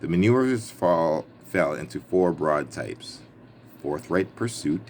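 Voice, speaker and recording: A man with a very low-pitched voice reads from a book with emphasis and a playful streak. He slips between the written text and casual, wry commentary. Clear background noise runs through the recording. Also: expressive, slow pace, a little mellow. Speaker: reader and commentator. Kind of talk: book reading with casual ad-lib asides. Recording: clearly noisy background ambience.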